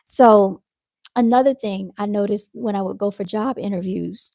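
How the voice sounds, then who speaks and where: A woman with a soft-spoken, soothing voice who talks in a calm, unhurried way, hosting a casual live chat with her viewers. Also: mid-range voice, gravelly, no room sound. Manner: calm and unhurried, with fillers and restarts. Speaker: live-stream host reading viewer comments.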